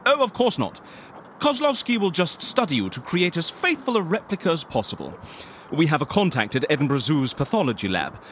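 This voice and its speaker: A man's voice: a little high, very animated, a little fast, fairly bright tone. Eccentric polite fixer guy, theatrical, voice jumps all over, audio comedy, faint noise behind. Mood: eager, scheming. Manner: hesitant, stammering, theatrical. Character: eccentric, polite, excitable male fixer interviewing a client. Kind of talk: audio fiction comedy acting.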